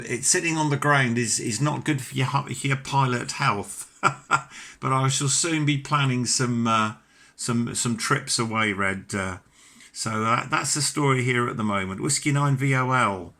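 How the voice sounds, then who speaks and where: Bright-voiced British man on ham radio. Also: mid-range voice, unhurried. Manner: courteous, chatty. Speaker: amateur radio operator. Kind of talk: casual ham radio chat.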